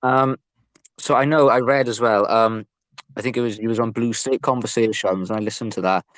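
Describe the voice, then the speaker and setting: A man's voice: medium pitch, fairly even intonation, a little fast, fairly bright tone, no room sound, poor audio. A man with a Welsh accent hosting a casual interview, asking questions in an informal, slightly hesitant way. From Wales. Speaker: podcast host.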